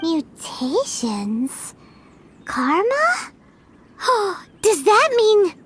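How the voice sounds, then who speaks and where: A woman voicing a tiny, childlike fairy companion in a video game, excitable, tender-hearted and squeaky. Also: very slow, exaggerated voice. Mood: curious, surprised. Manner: excitable, speaks of herself in third person. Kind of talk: video game character acting. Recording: loud background music. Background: game music.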